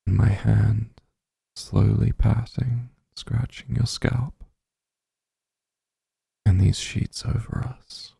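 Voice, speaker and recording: A man playing a doting, possessive demon lord in an intimate ASMR roleplay, speaking in a tender, coaxing hush with a dark, husky voice, close to the microphone. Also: fairly low pitch, no room sound, exaggerated voice. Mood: soothing, hushed. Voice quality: very dark, husky, gravelly, breathy. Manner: tender, coaxing, teasing, hushed. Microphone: close microphone, dry.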